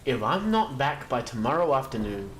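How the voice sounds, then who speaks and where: A man plays a nervous company man in an audio drama. He has a mid-pitched voice and talks in an officious, defensive way, over faint ambient noise. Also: big pitch swings, normal speed, a bit bright. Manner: anxious, officious, pleading.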